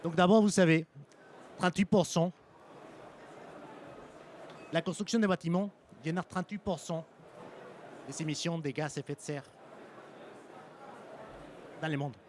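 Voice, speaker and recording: A man with a Mexican Spanish accent giving a conference presentation as a sales engineer, pausing often with ums and restarting phrases. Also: on the high side, fairly even intonation, a little mellow, a little hiss or hum. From French-Mexican. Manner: hesitant, frequent ums and restarts. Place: conference hall.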